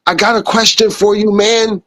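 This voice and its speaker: A man hosting a podcast, chatting casually and excitably, jumping between questions. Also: sits high, moderate pace. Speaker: podcast host. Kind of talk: casual chat.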